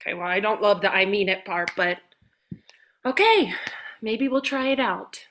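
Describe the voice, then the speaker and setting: A woman reading a jaded, blunt female TV star in a comedy script, with a deadpan, sarcastic delivery. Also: medium pitch, normal speed, close to an everyday voice. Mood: grudging. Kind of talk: comedy script table read.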